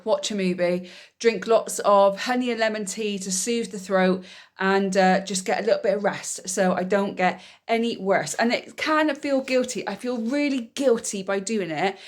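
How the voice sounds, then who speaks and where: A woman, chatting casually in a weekly vlog, a bit nasal and bunged up from a cold. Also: medium pitch. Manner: chatty, rambling, self-explaining. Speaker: vlogger, single mum.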